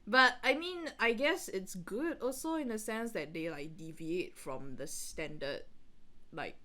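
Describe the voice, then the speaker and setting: A woman's voice: mid-range voice, animated, a little slow, bright, forceful tone. A woman, giving casual, rambling, giggly commentary as a podcast host.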